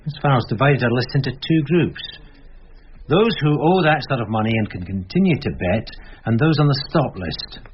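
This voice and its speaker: An actor playing a loyal, cautious police sergeant in a radio crime drama, measured and deferential. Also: male voice, mid-range voice, expressive, close to an everyday voice. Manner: deferential, measured, matter-of-fact. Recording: dull, noisy radio recording with clicks.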